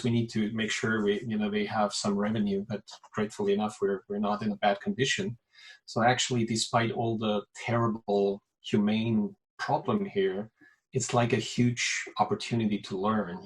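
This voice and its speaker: A man's voice: fairly low pitch, low-quality recording. Chatty Austrian man on a video call. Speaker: change facilitator. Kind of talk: casual self-introduction.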